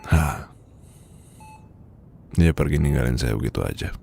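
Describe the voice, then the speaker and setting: A man playing a weary, heartbroken boss confiding from his sickbed in an ASMR roleplay, with an Indonesian accent and a hesitant, breathy, murmuring delivery. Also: extremely low pitch, mellow, slight background noise, exaggerated voice. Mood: wistful, resigned. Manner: hesitant, murmuring, halting, sighing.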